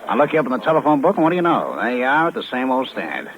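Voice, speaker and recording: A man's voice: medium pitch, big pitch swings, racing, a little hiss or hum. An actor playing a slick, wry crook in his thirties in a 1950s radio crime drama, talking tough and evasive. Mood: wry, guarded. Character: slick cocky small-time male crook, mid-thirties, flirting. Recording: muffled 1950s radio recording.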